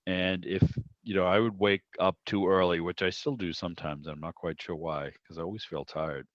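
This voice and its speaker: A man's voice: low-pitched, steady tone, moderate pace, no room sound, dull audio. A middle-aged man hosting an informal online club interview in a conversational, reflective way, over video call audio. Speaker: host of a club meeting.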